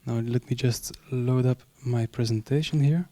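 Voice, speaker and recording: A man's voice: mid-range voice, steady tone, a little slow, mellow. A man whose first language is not French, presenting his company, hesitant with many fillers.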